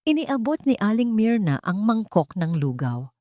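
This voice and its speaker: A woman narrating a scripted dramatic audio story in a measured, literary way. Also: medium pitch, big pitch swings, dark tone, no room sound, clean studio sound.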